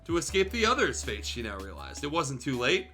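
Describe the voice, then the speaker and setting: A man's voice: mid-range voice, sing-song, brisk, sharp tone, faint music behind. A man reading fan fiction aloud as a streamer, in a theatrical, irreverent storytelling style with joking asides.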